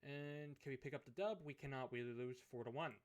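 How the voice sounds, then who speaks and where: A man with a hoarse, fading voice, thinking aloud as he commentates a hockey management game. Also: mid-range voice. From Canada. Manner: musing aloud, unhurried, thinking through choices. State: hoarse, losing his voice. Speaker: gaming commentator. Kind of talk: let's play commentary of a management sim.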